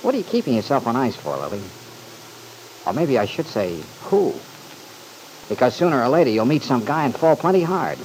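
An actor playing an easygoing, thoughtful young man in an old-time radio soap opera, folksy, earnest and gently teasing. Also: mid-range voice, very animated, brisk, pauses often, poor audio. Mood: teasing, challenging. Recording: hissy vintage radio recording.

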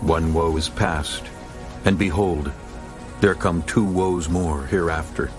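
A man narrating dramatized scripture in a solemn, deliberate manner. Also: deep voice. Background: music.

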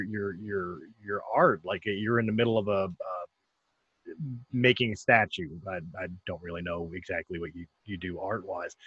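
A man's voice: lowish voice, fairly bright tone, slightly rough, no echo. A man hosting a podcast, talking casually with frequent stammering restarts. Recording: dull, dry, slightly lo-fi.

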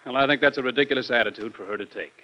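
An actor playing a President's flippant, carefree young adult son in an old-time radio drama, glib and defensive. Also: male voice, mid-range voice, rapid-fire, close to an everyday voice. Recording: dull lo-fi vintage radio recording.

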